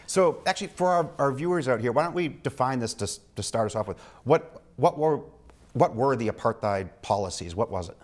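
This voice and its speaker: A young man hosting a studio interview show, asking questions briskly and introducing guests. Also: medium pitch, lively intonation. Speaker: show host. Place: TV studio set, seated in armchair.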